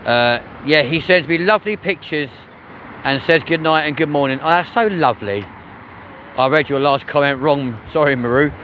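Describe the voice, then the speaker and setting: A man's voice: fairly high pitch, normal speed, a bit bright, slight background noise, rough recording. A young man with a London accent, chatting casually as the host of a live stream outdoors in a city, joking and reading out comments. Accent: London, with a mix of areas. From South West London, England. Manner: chatty and jokey, reads chat comments aloud.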